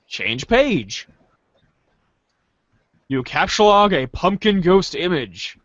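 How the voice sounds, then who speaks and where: A man reading a story aloud in a playful, theatrical way, as an animated second-person narrator. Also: high voice, slow pace, no echo, highs cut off.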